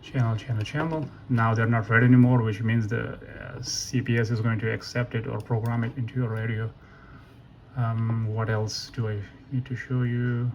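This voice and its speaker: A man giving a casual, unscripted software walkthrough in a halting way, thinking aloud and correcting himself. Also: medium pitch, little pitch movement, muffled recording. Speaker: tutorial presenter. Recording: noisy room with keyboard typing. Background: computer keyboard clicks, ambient noise.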